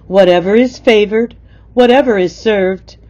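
Slow, dull-sounding woman reading verse. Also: mid-range voice, darkish tone. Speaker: poem reader. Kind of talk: reading rhyming poem aloud.

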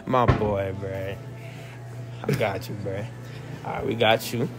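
A man's voice: on the low side, steady tone, slow, loud music behind the voice. A young man hosting casual street interviews outdoors, joking and teasing in slangy talk.

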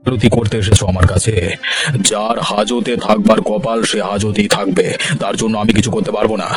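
A man's voice: on the low side, little pitch movement, fairly quick, natural voice. A man playing a haughty village landlord in a cartoon, commanding and scornful in his dramatic dialogue. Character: stern, haughty village landlord, quick to condemn. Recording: music behind the voice.

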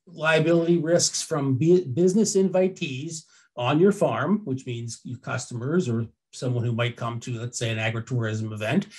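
An older man with an American accent presents an explanatory webinar into a headset, conversational and often rephrasing himself as he goes. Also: medium pitch.

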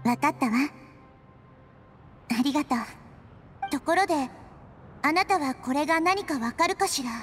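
An actress playing a gentle, wise little girl deity in an anime game, speaking calmly and in measured phrases. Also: sits high, soft background music. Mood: apologetic, gentle. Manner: calm, soft, measured. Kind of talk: anime game dialogue acting.

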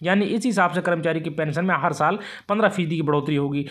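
A man with a high voice reads scripted news updates at a quick clip. He delivers them emphatically, as a presenter announcing headlines.

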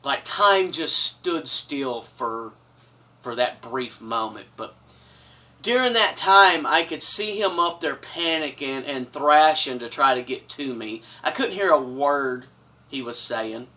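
A man's voice: medium pitch, slow pace, recorded from a distance. A middle-aged man, telling a personal story to the camera in an earnest, dramatic way from a small wood-paneled room. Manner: earnest, dramatic, expressive with big gestures. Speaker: storyteller host. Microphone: camera from a few feet away.

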